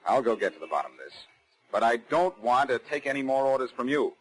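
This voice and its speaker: Cocky American amateur sleuth, husky edge, very animated delivery, sardonic retorts, vintage 1940s radio style. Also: male voice, medium pitch, quick pace, low-quality recording. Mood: wry, defiant. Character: cocky, wisecracking amateur sleuth wrongly under suspicion. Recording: restored 1940s radio drama recording.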